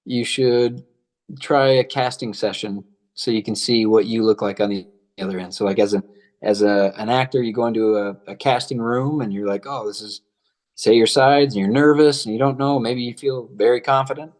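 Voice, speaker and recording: A man's voice: medium pitch, fairly even intonation, normal speed, darkish tone. Laid-back actor guy on a podcast, mid voice, lots of yeah man. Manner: easygoing, says man and yeah a lot. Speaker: actor guest. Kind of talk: casual interview chat.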